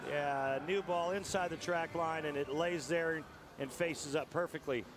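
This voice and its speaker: A man's voice: fairly high pitch, a little slow, over music. A man explaining bowling technique at length as a color commentator. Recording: TV broadcast with arena noise behind.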